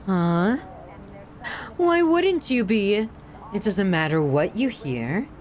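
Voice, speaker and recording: Sadistic yandere lover, played by a woman for horror ASMR: obsessive, menacingly affectionate, very lively intonation, unhurried. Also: medium pitch. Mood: bitter, accusing. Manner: theatrical, swings from sweet to scornful, sighing. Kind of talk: ASMR horror roleplay monologue.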